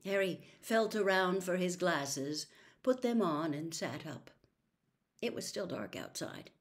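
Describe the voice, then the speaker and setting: Expressive woman storyteller, lower-pitched and lively. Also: slow. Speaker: storyteller reading a novel aloud. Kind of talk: expressive audiobook-style narration with character voices.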